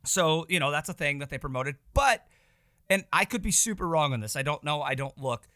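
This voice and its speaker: A man co-hosting a podcast in casual chat, a little hoarse, chatty and excitable. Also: a little high, fairly quick. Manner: chatty, excitable, self-mocking. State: slightly hoarse. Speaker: podcast co-host.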